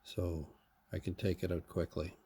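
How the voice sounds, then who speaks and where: A man with a fairly low voice talks through hands-on repair work. He explains each step in an unscripted way, thinking aloud in short, halting phrases. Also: little pitch movement, warm tone, slightly rough. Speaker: hobbyist radio repairer.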